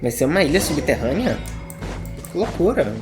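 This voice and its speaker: A man's voice: on the high side, big pitch swings, brisk. A man with a Brazilian accent giving casual, joking, slangy commentary as he plays a game, music behind him. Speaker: gaming streamer.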